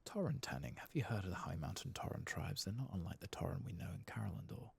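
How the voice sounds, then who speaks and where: A man giving soft-spoken, sometimes whispered ASMR commentary on a game, murmuring to himself and reading text aloud. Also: sits low, expressive, fast talker, creaky. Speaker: ASMR gaming channel host.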